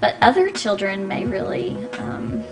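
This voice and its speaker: A woman speech-language pathologist, a guest on a radio interview, explaining clearly and patiently with frequent ums. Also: medium pitch, wildly swooping pitch, a little slow. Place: radio studio. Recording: radio studio with music behind.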